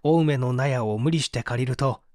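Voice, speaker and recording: A man's voice: medium pitch, moderate pace, no room sound, studio quality, lightly acted. A man reading dramatically as a bitter, despairing painter who gives testimony in a formal, confessional way. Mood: aggrieved. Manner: confessional, expressive, formal narrative delivery.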